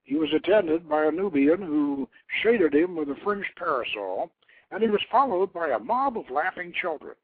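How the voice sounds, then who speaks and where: A man reading a scripted story in a stately way, in short measured phrases with the odd stumble. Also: on the high side, sing-song, slightly rough. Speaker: host reading his own novelette. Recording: thin phone-like internet radio line.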